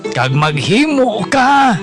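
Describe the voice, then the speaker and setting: A man voicing an elderly, fatherly bishop in a Hiligaynon Filipino radio drama, advising in a slow, emphatic manner. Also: very high-pitched, very animated, voice pushed up, exaggerated voice. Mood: earnest counsel. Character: elderly male bishop, fatherly, counselling a priest. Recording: music under the voice.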